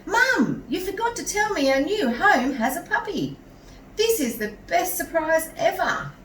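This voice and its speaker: A woman voicing an eager, kind young boy in a children's storybook, friendly and excitable. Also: very high voice, a little slow, voice pushed up, heavily acted. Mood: excited. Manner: excited, friendly, bright-eyed exclaiming. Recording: roomy echo, distant mic, some noise.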